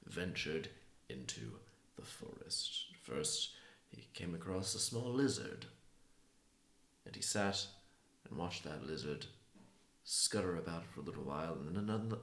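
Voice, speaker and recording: Male storyteller, very low and creaky, feeling his way through an improvised folktale in a roomy space. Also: sing-song, fairly bright tone. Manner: halting, pausing between phrases. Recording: roomy, echoing sound.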